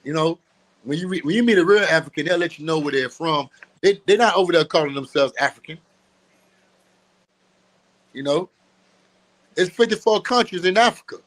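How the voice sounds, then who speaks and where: Man, emphatic, preachy, repeats himself, laughs often, slightly high voice. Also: moderate pace, pauses often, rough recording. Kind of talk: street-teaching talk.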